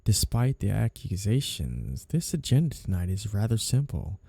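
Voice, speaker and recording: A man playing a flirty, two-faced mafia boss in an audio roleplay, menacing and obsessively in love, smooth-talking and teasingly polite as he threatens. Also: lowish voice, sing-song, normal speed, warm tone, no room sound, heavily acted. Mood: calmly menacing. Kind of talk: audio roleplay ASMR acting.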